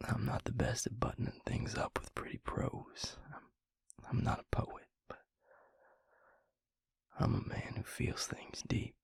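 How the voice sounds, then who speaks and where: A man playing a tender, earnest vampire lover in an intimate romantic audio roleplay, speaking haltingly and plainly in a breathy, gravelly near-whisper. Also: no echo, natural voice. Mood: tender, vulnerable. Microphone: close microphone in a dry room.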